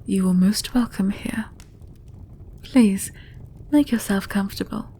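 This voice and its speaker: A woman playing a gracious, shrewd queen in a fantasy audio roleplay, regal, measured and formal. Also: medium pitch, mellow, slightly rough, whispered. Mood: regal, composed.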